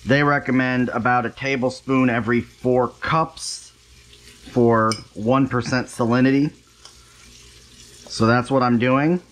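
A man's voice: mid-range voice, fairly even intonation, measured pace, a little hiss or hum, rough recording. A man hosting a home cooking show, chatting casually and making wry, self-deprecating asides as he cooks. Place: home kitchen, stovetop.

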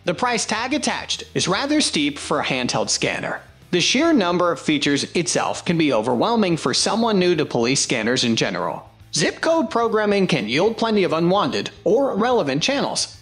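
A product review narrator reads from a script with lively, varied intonation. The voice sits at a middling pitch, with music playing softly behind it. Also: moderate pace.

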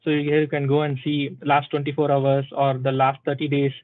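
A man presenting a live software tutorial at a tech community session, explaining step by step with many "uh"s and "okay"s and checking in with "right?". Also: medium pitch, completely flat delivery, normal speed, no room sound. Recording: dry, thin phone-like sound.

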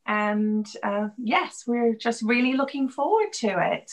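A woman's voice: a little high, expressive, unhurried, distant mic. A middle-aged woman hosting a live online cooking class from her home kitchen, chatty with many fillers and restarts. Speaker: host and team leader. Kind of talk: live video call cooking class introduction. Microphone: laptop or phone camera at a distance.

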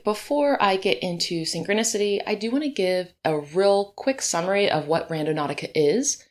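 A woman hosting her own podcast, talking casually with chatty asides, her voice hoarse and a bit deeper in the early morning. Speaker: podcast host. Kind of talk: solo podcast monologue. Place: home room, birds outside.

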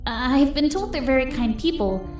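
Woman as a gentle young girl, high soft voice, earnest, wistful, hopeful, cartoon comic dub, echoey, music behind. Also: expressive, moderate pace. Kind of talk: animated comic dub acting. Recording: echoey voice under background music.

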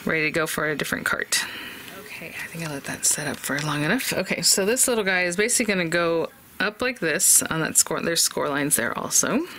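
A woman's voice: low-pitched, lively intonation, fairly quick, bright, forceful tone, a little hiss or hum, rough recording. A woman hosting a casual craft tutorial, chatty and upbeat, thinking aloud as she works at an indoor craft desk. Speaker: craft channel host. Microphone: overhead camera on desk, voice off-camera.

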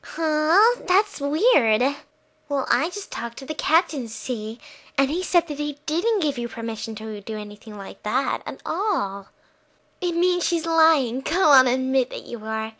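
A self-assured young flight attendant from a video game, acted by a woman. She is officious and politely smug, with a bright voice. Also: sits high, animated, a little slow. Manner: polite, smug, matter-of-fact.

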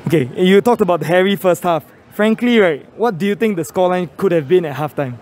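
A man's voice: high-pitched, lively intonation, fast talker, darkish tone. A young Singaporean man presenting casual post-match fan interviews and commentary, speaking into a handheld phone outdoors at a stadium entrance. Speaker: fan channel host and interviewer. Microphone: handheld phone held near mouth.

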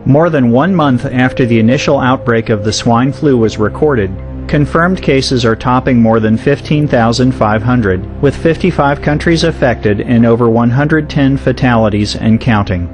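A man narrates a scripted news report in a measured, solemn voice pitched in the middle range. Music plays clearly behind him throughout.

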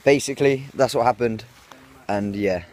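A teenage English boy vlogging outdoors on a phone held at arm's length, chatty and excitable with his friends. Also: mid-range voice, normal speed. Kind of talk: casual vlog to camera. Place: outdoors in fields on a windy grey day. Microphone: phone camera held at arm's length.

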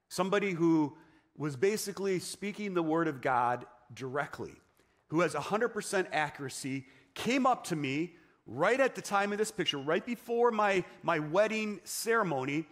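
A man in his forties speaks from a church stage as a guest preacher. His voice sits a little high, and he tells his own story in a personal, storytelling way. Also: fairly bright tone. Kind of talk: testimony sermon.

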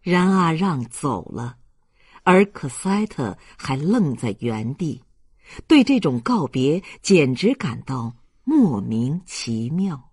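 An audiobook narrator reading a literary novel in an expressive storytelling style. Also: medium pitch, slow, breathy edge, no room sound, studio quality.

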